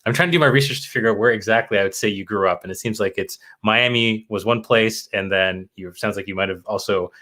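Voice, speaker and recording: A man's voice: fairly low pitch, fast, hardly any pauses, sharp tone. A man hosting an interview, chatty and enthusiastic, asking long rambling questions. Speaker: podcast host, interviewer. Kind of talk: casual interview conversation.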